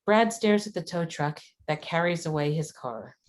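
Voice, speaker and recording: Low-voiced woman narrating a screenplay. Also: expressive, moderate pace, a little hiss or hum. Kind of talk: scripted narration of screenplay directions.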